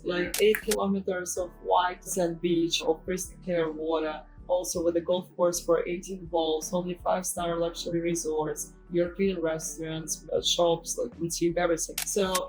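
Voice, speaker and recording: A woman's voice: lowish voice, steady tone, normal speed, hardly any pauses, rough recording. A woman with a Russian accent talks casually as a guest investor being interviewed. Her English is non-native, with loose grammar. Music plays behind her voice. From Russia, Saint Petersburg. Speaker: guest, property investor and conference speaker.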